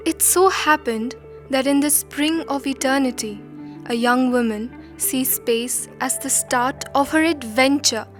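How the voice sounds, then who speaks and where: A woman narrating a scripted documentary drama in a measured, solemn storytelling manner. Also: high-pitched, soft background music.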